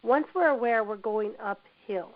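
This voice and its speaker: Hoarse woman leading a training webinar. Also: fairly high pitch, measured pace. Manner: encouraging, repeats "you know". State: hoarse from a cold. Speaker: webinar presenter. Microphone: computer mic on a webinar call. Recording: dull lo-fi web audio.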